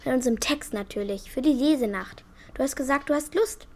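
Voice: high voice, brisk, a little mellow. A performer playing a bold, talkative schoolgirl with a direct manner in a children's radio play. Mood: forthright chatty. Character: Hülya, bold, chatty, forthright schoolgirl.

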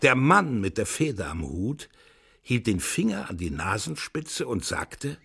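A man's voice: fairly low pitch, big pitch swings, measured pace, vocal fry, fairly bright tone, slightly rough, exaggerated voice. A man narrating a children's radio play as its storyteller, reading scripted prose. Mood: descriptive.

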